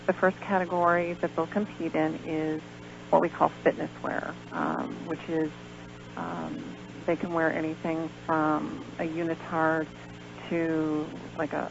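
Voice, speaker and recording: A dull, lo-fi radio recording with some hum and noise carries an American woman's voice, gravelly with fry. She is a guest explaining things step by step, pausing and hesitating as she goes. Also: deep voice. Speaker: guest, pageant organizer. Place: radio show.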